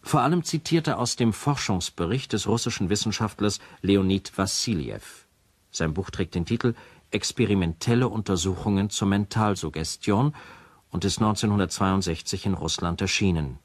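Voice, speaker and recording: A man's voice: fairly low pitch, normal speed, no room sound, lightly acted. An actor playing a sober narrator in a radio play, a man reporting formally and matter-of-factly as in a documentary. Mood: sober, factual. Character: sober male narrator presenting a recorded conversation as evidence.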